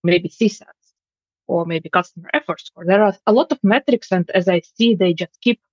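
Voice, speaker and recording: A woman's voice: on the low side, slightly rough, no room sound. Woman explaining, dull dry audio. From Finland. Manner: measured, explanatory, dry humor. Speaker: guest customer experience expert. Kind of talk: interview answers.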